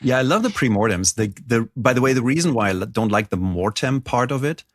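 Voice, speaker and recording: Middle-aged German-accented male guest, husky low voice, dry close sound, chatty storyteller. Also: quick pace, no room sound. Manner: animated storyteller, frequent "like" and restarts. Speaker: guest author. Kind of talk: conversational interview.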